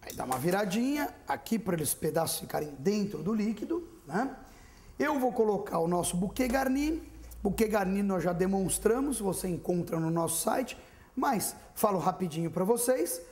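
A man's voice: sits high, normal speed. A man in his thirties, a TV chef in a studio kitchen, chatting to viewers while he cooks. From Brazil. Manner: lively, chatty, addressing viewers directly. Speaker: TV cooking show chef. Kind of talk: cooking demonstration. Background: frying and sizzling.

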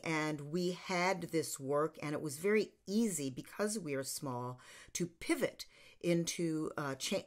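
An American woman in her sixties hosting online, giving an informal introduction with measured delivery and emphatic pauses. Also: low-pitched. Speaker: theater company host introducing an online show. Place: small home room, indoors.

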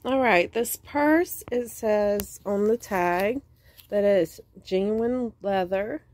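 Woman, slow delivery, casual explaining, handheld phone audio. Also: medium pitch, a little mellow. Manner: chatty, explains items plainly. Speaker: vlogger. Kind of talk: casual show-and-tell vlog.

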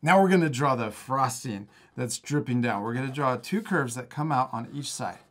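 A man's voice: medium pitch, animated, normal speed. A man in his thirties hosts a children's drawing lesson. He talks casually, step by step, with an encouraging manner and frequent chuckles. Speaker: drawing instructor and host. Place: indoor studio, at a table.

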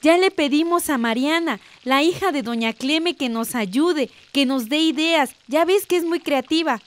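A woman's voice: sits high, unhurried. An actress with a Mexican accent playing a concerned, cautious village mother in a radio drama. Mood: concerned, earnest.

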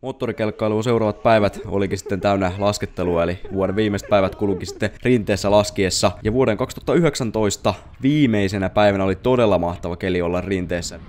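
A young man with a fairly low voice talks casually as a travel vlogger. Clear background noise of vehicle and outdoor ambience sits behind his speech. The delivery is informal, like someone recounting a trip to viewers. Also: few pauses, a little mellow. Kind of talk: casual travel vlog narration.

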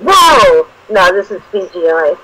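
A man co-hosting a radio talk show, chatting casually in a halting way with restarts and "you know.". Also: high-pitched, big pitch swings, bright, forceful tone, slight background noise, low-quality recording. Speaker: radio co-host. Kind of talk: casual on-air chat.

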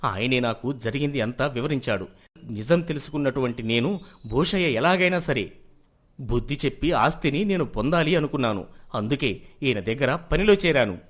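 An earnest young man who has been wronged, from a village moral tale, voiced by a man. He speaks respectfully with a varied, expressive pitch. His delivery is that of someone recounting his life. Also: normal speed, natural voice. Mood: earnest, sorrowful. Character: wronged young man confessing theft, earnest landlord's son. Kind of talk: dramatised moral story acting.

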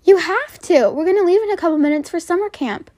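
A woman voicing a brisk, affectionate mother coaxing her child in a roleplay story. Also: very high-pitched, lively intonation, dark tone, pitched above the performer's own voice.

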